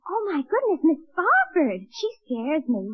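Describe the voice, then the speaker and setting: A woman's voice: extremely high pitch, huge pitch swings, measured pace, exaggerated voice. An actress playing a fussy, scolding girl of the household in a 1940s radio comedy. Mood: fussy, exclaiming. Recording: muffled vintage radio recording.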